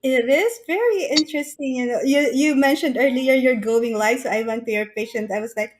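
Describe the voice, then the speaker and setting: A woman's voice: high voice, a little fast, noisy background. A woman hosting a live-streamed interview, friendly and eager, laughing often. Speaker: host.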